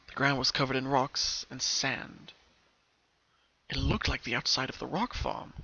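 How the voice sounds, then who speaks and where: Bright-voiced man narrating dramatically. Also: mid-range voice, level tone, a little slow, highs cut off. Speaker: narrator of fan fiction.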